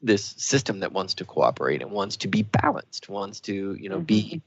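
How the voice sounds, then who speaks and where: A man's voice: deep voice, big pitch swings, moderate pace, breathy edge. A man co-hosting a radio show, talking in an earnest, explanatory and reflective way. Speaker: radio co-host. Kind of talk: reflective talk-show discussion. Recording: dull, dry radio audio.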